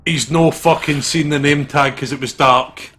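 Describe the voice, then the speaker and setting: A man's voice: a little high, steady tone, normal speed, a bit bright, a little hiss or hum. A man hosting a let's play, reading visual novel narration aloud dramatically.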